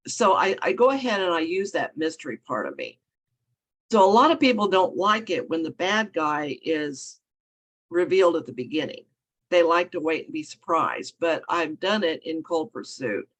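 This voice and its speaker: Woman author, hoarse and froggy from a cold, casual rambling chat. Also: low-pitched. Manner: rambling, self-correcting, chuckling often. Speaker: guest author.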